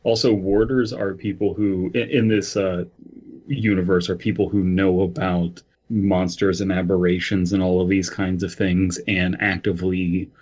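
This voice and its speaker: A low-pitched man co-hosting a podcast in a slightly dull, lo-fi recording. He reads aloud conversationally and keeps breaking off into joking asides. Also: level tone, normal speed, a little mellow. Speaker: podcast host. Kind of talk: reading aloud with casual joking chat.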